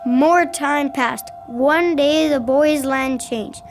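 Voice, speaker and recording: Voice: high-pitched, slow pace, vocal fry, a little hiss or hum. A child of about nine or ten reading scripted storytelling narration in a school radio drama.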